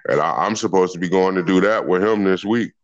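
A man's voice: deep voice, brisk, no echo, highs cut off. A man co-hosting a podcast, chatting casually in a laid-back, slangy way. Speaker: podcast co-host. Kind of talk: casual banter.

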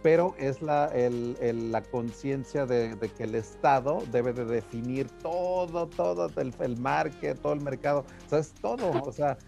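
A Mexican man talks casually and emphatically, throwing in slang at every turn. His voice is gravelly and his pitch is middling. Faint music plays in the background. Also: unhurried, hardly any pauses, mellow. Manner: slangy, emphatic, peppered with "cabrón" and "o sea". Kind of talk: casual chat.

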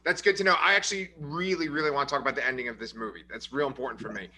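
Upbeat bright-voiced male podcast host. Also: medium pitch, rapid-fire. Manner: upbeat, lots of fillers, recaps plot. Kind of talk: casual movie review chat.

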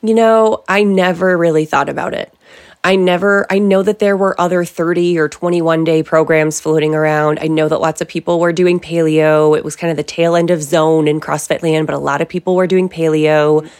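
A woman's voice: low voice, normal speed. A middle-aged woman, a company founder, answering interview questions in a confident, emphatic conversational way. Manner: confident, emphatic, lively asides.

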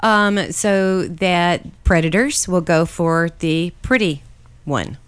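A woman co-hosting a casual podcast, a bit stuffy and nasal from allergies, quick with sarcastic comebacks. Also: lowish voice, clean tone, dry recording. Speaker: podcast co-host. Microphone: studio mic.

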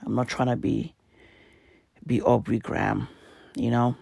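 A young man with a hoarse, raspy voice talks to the camera in a loose, rambling way. He swears freely and leans on fillers as he goes. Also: mid-range voice, little pitch movement, a little slow, dark tone, no room sound, studio quality. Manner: profane, rambling, laid-back, many fillers. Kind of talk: casual rambling monologue.

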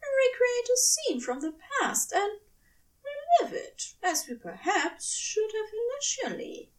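A woman's voice: extremely high pitch, sing-song, takes it slowly, recorded from a distance, voice pushed up, full character voice. A woman voicing a tender, prim male angel lover in an audio fan fiction, earnest and coyly hesitant in phrasing. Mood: coy, playful.

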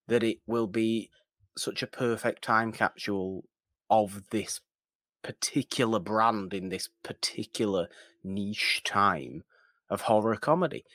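A man with a fairly low voice co-hosts a casual film podcast. He retells things in a wry, emphatic way. The recording is close and dry. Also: pushed and bright, no room sound. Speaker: podcast co-host.

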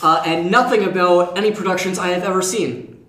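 A man's voice: sits high, fairly even intonation, brisk, fairly bright tone. Male podcast co-host, informal conversational delivery, roomy echoing sound. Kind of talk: casual panel discussion.

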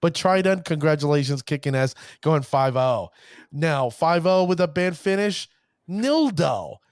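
A fairly high-voiced man runs a casual card-game show, pumping up his shout-outs with showman phrases. He stumbles over names and pushes on. The recording is dry and close with no room sound. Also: lively intonation, unhurried, no echo. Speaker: podcast host. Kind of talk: hype-filled casual show talk.

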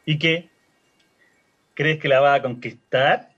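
A man's voice: a little high, steady tone, takes it slowly, a bit bright, highs cut off. A man with a Chilean accent playing a chatty, teasing cemetery worker in a radio drama. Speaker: actor. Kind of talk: radio drama acting, colloquial dialogue.

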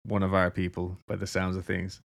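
A man's voice: low-pitched, completely flat delivery, fairly quick, vocal fry. A man with an Irish accent casually telling a story to a co-host, laughing often and swearing freely. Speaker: podcast co-host.

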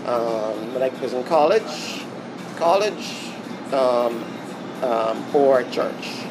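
Bahamian male interview guest, reflective, halting with ums, mid pitch, steady background hum. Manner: reflective, many ums and restarts. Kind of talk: casual interview talk.

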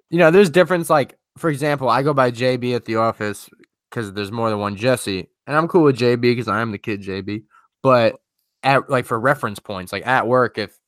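A man's voice: on the low side, fairly bright tone, clear, resonant tone, dry recording, studio quality. A young man co-hosting a podcast, chatting casually in a laid-back, slangy way and laughing often. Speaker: podcast co-host. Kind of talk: casual chat.

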